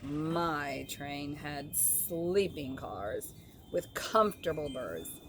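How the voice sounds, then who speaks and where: A woman's voice: low-pitched, expressive, very slow, background noise, pitched below the performer's own voice, full character voice. A woman voicing a haughty, snobbish male train engine in a children's storybook, boastful and emphatic. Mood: haughty, scornful.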